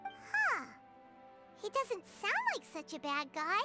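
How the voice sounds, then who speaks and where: A woman voicing a small, childlike fairy companion in a video game, curious and sweet, with a squeaky voice. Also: very animated, slow pace, bright, forceful tone, soft background music, exaggerated voice. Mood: curious, wondering.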